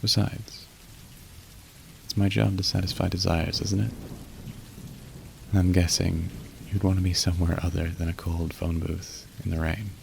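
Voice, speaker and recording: A man playing a weary, tender young demon in an intimate ASMR roleplay, speaking softly and hesitantly with gentle wry humor. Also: low-pitched, little pitch movement, unhurried, vocal fry, long pauses, a little hiss or hum, heavily acted. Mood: shy, hopeful. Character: weary, tender young male demon working a phone line. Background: rain and thunder.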